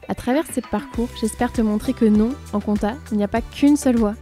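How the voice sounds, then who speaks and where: A woman's voice: a little high, fairly quick, dark tone, faint music behind. Upbeat woman hosting a podcast. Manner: upbeat, chatty, uses fillers. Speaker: podcast host. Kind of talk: scripted intro, then casual interview.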